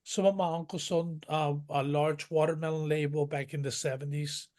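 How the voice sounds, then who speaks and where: A man's voice: fairly high pitch, steady tone, moderate pace, a little mellow. A man from northern Mexico, a guest telling his family history in a rambling, detailed way with many restarts. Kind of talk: conversational interview.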